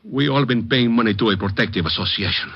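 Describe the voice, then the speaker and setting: A naive butcher, husky voice, anxious broken english, muffled old radio recording. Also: male voice, on the low side, fast. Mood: worried, earnest. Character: worried, earnest immigrant male neighborhood butcher. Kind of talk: 1940s-50s radio drama acting.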